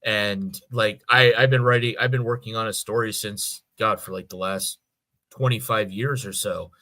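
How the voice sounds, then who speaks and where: A man, middle-aged, in a casual podcast discussion, reasoning aloud in a halting way and rephrasing as he goes. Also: on the low side, steady tone, sharp tone, no echo. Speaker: podcast co-host.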